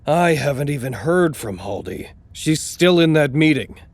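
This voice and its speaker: A man's voice: a little high, sing-song, normal speed, gravelly, exaggerated voice. An actor playing a dry, wary male facility officer in understated, terse audio drama dialogue.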